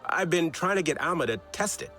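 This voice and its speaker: A man's voice: fairly high pitch, brisk, soft background music. An actor playing an eccentric, enthusiastic tinkerer in a video game, chatty, proud of his gadgets and amused by himself. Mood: proud, eager. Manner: excitable, chatty, self-amused. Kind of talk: video game cutscene acting.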